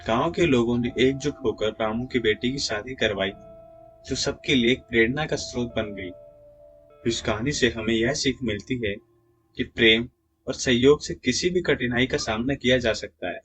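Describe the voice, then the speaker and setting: Male storyteller, medium pitch, scripted narration, faint music behind. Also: normal speed, fairly bright tone.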